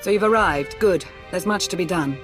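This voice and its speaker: An actress playing a composed but urgent intelligence minister in a video game, formal, clipped and businesslike. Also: female voice, fairly low pitch, moderate pace, music in the background, close to an everyday voice. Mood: grave, businesslike. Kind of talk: video game cutscene acting.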